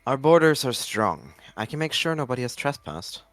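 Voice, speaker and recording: A man's voice: mid-range voice, sing-song, normal speed, no echo, faint music behind, voice pushed up, lightly acted. A man voicing a cunning, cold male warrior cat deputy in a roleplay, confident and taunting. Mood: feigned confidence. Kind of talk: text roleplay acted aloud.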